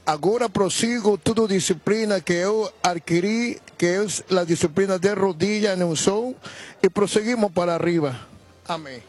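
A man from Bolivia with a Bolivian Spanish accent, a native Spanish speaker giving a halting church testimony on live radio and mixing Spanish words into his Portuguese. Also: high voice, darkish tone, slightly rough.

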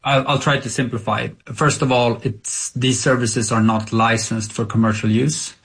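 Husky Swedish-native man, gravelly and flat. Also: mid-range voice, normal speed. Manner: candid, reflective, searches for words. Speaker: company founder. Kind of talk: radio interview answers.

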